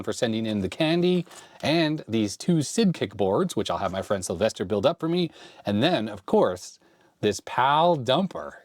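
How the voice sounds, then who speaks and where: A man's voice: medium pitch, no room sound, clean studio sound. A man hosting a retro computer tech vlog, thinking aloud and a little sick with a fading voice. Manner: thinking aloud, hesitant self-questioning. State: slightly sick, losing his voice. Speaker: host of a retro computer channel. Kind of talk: casual tech vlog explaining on screen.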